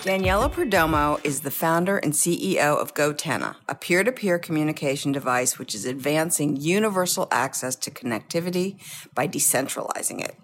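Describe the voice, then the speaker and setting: A woman hosting a podcast interview, reading a scripted intro and then chatting with enthusiastic quick interjections. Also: deep voice. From United States. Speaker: podcast host. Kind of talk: conversational interview.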